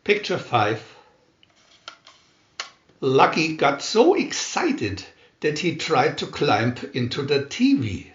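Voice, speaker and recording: A man reads a children's storybook with deliberate care. His pitch swings widely as he goes, and the recording is dull and roomy, with a clear echo around the voice. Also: wildly swooping pitch. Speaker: storybook narrator. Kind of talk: scripted children's story reading. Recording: roomy, echoey, dull sound.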